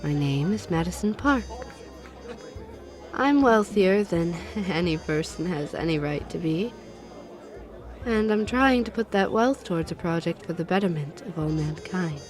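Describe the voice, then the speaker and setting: A woman's voice: fairly low pitch, animated, measured pace, faint music behind, some chatter behind. An actress playing a poised, commanding, very wealthy woman in an audio drama, formal and self-assured. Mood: cool, assured.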